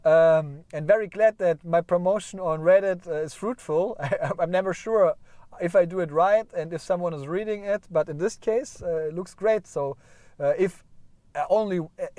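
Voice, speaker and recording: A man's voice: fairly high pitch, warm tone, no echo. A man with a German accent hosting a casual livestream, rambling and correcting himself as he chats with viewers. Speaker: livestream host.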